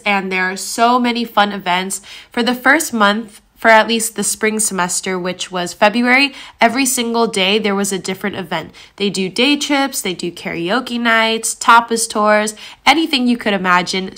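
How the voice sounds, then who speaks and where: Young woman w a stuffy nasal voice, chatty friendly advice, mid pitch. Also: fairly bright tone. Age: young adult. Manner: chatty, friendly, direct tips. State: nasal from a cold. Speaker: study-abroad vlogger. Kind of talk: casual advice vlog.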